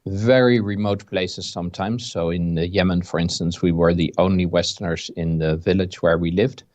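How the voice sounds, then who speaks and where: A Dutch man around fifty with a Dutch accent, the guest of an interview, telling his story calmly over a video call. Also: low-pitched, normal speed, dry recording. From Netherlands. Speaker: interview guest, game designer.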